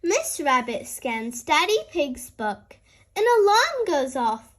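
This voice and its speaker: A woman's voice: high voice, sing-song, slow. A woman storyteller reading aloud expressively to young children. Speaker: storyteller, host.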